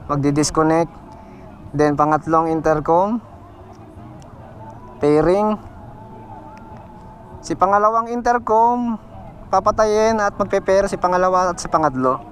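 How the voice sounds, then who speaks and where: A man's voice: sits high, unhurried, long pauses, a bit bright, a little hiss or hum. A Filipino man hosting a casual vlog, chatting and giving simple how-to instructions. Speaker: vlog host. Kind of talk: casual vlog chat and tutorial.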